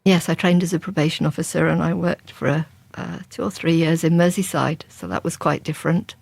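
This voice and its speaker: A woman's voice: low voice, fairly even intonation, fairly quick, vocal fry, a little mellow, husky, dry recording. A woman from England, a crime writer, answering interview questions in a reflective, conversational way. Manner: reflective and conversational, with frequent restarts. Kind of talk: interview guest.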